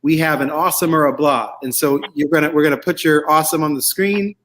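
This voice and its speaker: Male host, a bit high-pitched, casual banter, chatty, rambling asides, self-interrupting, choral music show. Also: steady tone, fast talker, a bit bright.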